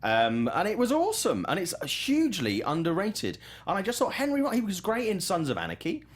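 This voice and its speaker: Animated man hosting film chat. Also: high voice, a little fast. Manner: opinionated, sweary, enthusiastic. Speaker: film talk show host. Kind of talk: casual entertainment discussion.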